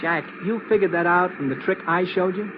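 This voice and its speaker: High-voiced, excitable American sidekick played by a man. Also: fairly quick, lightly acted. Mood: alarmed. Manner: bewildered, folksy, eager. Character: naive, excitable small-time trickster with a seal act. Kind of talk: 1950s radio drama acting, comic sidekick. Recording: muffled, slightly noisy old radio recording.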